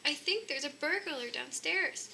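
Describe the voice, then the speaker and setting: A woman's voice: high voice, expressive, measured pace, vocal fry, pushed and bright, slight background noise, voice pushed up, full character voice. A woman voicing a fussy mother bear in a children's storybook, bossy and indignant. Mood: alarmed.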